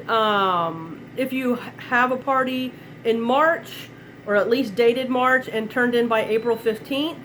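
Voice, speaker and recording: A middle-aged woman sells from her kitchen in a live online demo, her fairly high voice a touch stuffy from a head cold. She talks in loose, rambling asides and chuckles often. A faint hiss sits under the recording. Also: fairly bright tone, rough recording. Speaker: direct-sales consultant.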